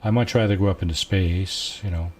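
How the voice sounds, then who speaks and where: A man with a low, soft voice, quietly talking through a video game at an unhurried pace, musing aloud with many fillers. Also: fairly even intonation, husky. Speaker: gameplay streamer. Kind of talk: casual let's-play commentary.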